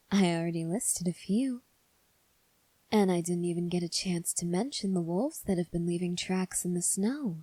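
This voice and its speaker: A woman playing a calm, probing female vampire in an ASMR roleplay, speaking softly and breathily with deliberate pauses. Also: on the low side, no room sound. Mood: teasing, curious. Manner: soft, breathy, measured delivery with deliberate pauses. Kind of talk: ASMR roleplay addressed to the listener.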